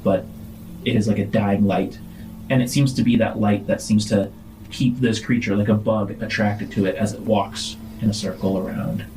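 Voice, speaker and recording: A man narrating a tabletop role-playing game as game master, describing scenes in a halting, casual way. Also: fairly low pitch, level tone, noisy background. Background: background music.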